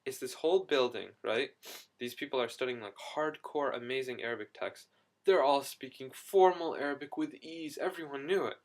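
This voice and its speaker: A young man in a casual video diary, talking excitedly and rambling with quick restarts. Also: medium pitch. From United States. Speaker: vlogger.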